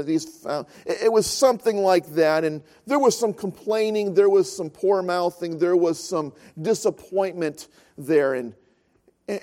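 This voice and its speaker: High-voiced pastor preaching. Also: male voice, mellow. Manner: storytelling, earnest, repeats phrases for emphasis. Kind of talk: sermon.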